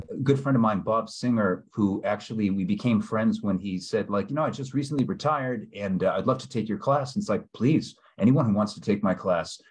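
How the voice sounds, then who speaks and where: A man's voice: fairly low pitch, darkish tone, slightly rough. A middle-aged man from New England hosting a book club discussion on a video call, thoughtful and hesitant, with many fillers. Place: small indoor room.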